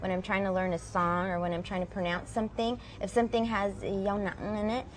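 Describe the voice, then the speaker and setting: A woman's voice: mid-range voice, level tone, a little fast, slight background noise. A young woman from Southern California, chatting casually as a guest singer and saying "like" and "um" often. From Southern California, USA. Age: young adult. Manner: chatty and friendly, many "um" and "like". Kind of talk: casual interview answers.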